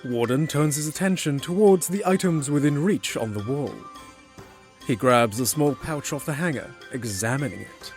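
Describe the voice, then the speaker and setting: A man's voice: medium pitch, moderate pace, soft background music. A man reading scripted narration for a fantasy audio drama.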